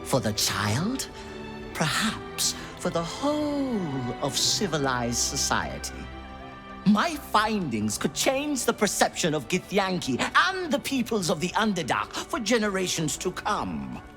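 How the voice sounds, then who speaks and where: An actor playing a callous, clinical scholar from the Underdark, lecturing in a precise, detached manner in a video game. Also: male voice, high voice, huge pitch swings, slow, fairly bright tone, soft background music, full character voice.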